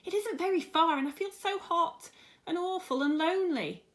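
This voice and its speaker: A woman doing a small boy, high voice, plaintive, pleading, lonely, storybook character. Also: moderate pace, fairly bright tone. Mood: tearful pleading.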